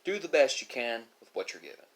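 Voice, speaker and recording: Man musing slowly in a halting monologue. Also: medium pitch, sing-song, vocal fry, fairly bright tone, poor audio. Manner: philosophical, halting, with pauses and self-corrections. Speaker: ASMR video creator. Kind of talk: unscripted musing monologue to viewers during a mukbang.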